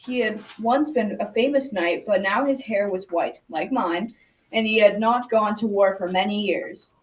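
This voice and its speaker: A woman's voice: mid-range voice, normal speed, close to an everyday voice. A teenage girl playing a folksy old grandfather who tells his grandchildren a tale in a school radio drama. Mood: storytelling. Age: young teenager. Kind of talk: scripted storytelling. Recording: distant mic, clear background noise.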